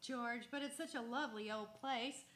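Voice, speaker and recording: A woman's voice: on the high side, moderate pace, fairly bright tone, reverberant, natural voice. A woman playing a sweet, teasing eighteen-year-old girl being courted in a radio play.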